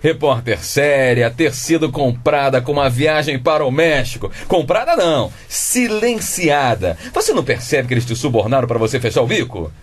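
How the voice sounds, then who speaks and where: A man's voice: medium pitch, animated, moderate pace, few pauses, lightly acted. A Brazilian man playing a pompous, sarcastic husband and father, a schoolteacher, in a comic radio drama, theatrical and wordy. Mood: sarcastic indignation.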